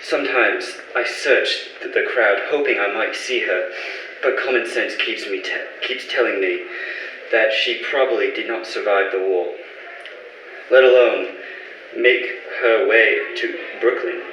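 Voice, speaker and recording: A man playing a lonely, grieving husband separated from his wife by war, earnest and halting, in an amateur radio play. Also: lowish voice, sharp tone, a little hiss or hum. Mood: sorrowful. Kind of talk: amateur old-time radio play acting. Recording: echoey, distant mic, faint music.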